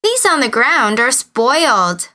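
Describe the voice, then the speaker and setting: A woman's voice: sits high, big pitch swings, slow pace, pitched above the performer's own voice, full character voice. A woman voicing exasperated grown village uncles, male hunters who scold and call out, in a children's story. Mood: wry regret. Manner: scolding, loud calling.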